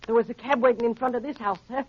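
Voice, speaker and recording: A performer playing an eager, excited, respectful young errand boy in a 1930s radio drama. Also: medium pitch, fast talker, close to an everyday voice. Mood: excited, urgent. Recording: muffled, crackly 1930s radio broadcast.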